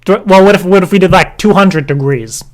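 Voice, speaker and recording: A man playing an earnest, clueless, stubborn dad, stammering and deadpan as he repeats himself in an improvised prank call. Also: high voice, a little fast, close to an everyday voice. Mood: confused, earnest. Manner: hesitant, stammering, repetitive, deadpan.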